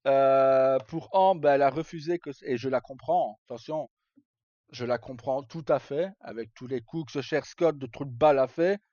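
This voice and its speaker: A man's voice: mid-range voice, moderate pace, dark tone, dry recording. A middle-aged man talks casually on a livestream in an informal, rambling and hesitant way. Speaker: live streamer. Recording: dull, lo-fi sound.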